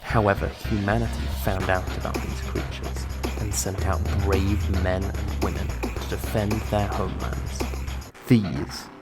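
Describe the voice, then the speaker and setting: A man's voice: low voice, level tone, a little slow. A man delivering a dramatic scripted prologue as an intro narrator. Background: loud guitar music.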